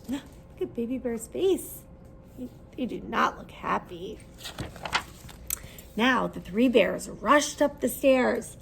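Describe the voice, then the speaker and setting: A woman's voice: on the high side, expressive, slow, gravelly, long pauses, slight background noise, rough recording. A woman reading a children's story aloud expressively, putting questions to her listeners as she goes.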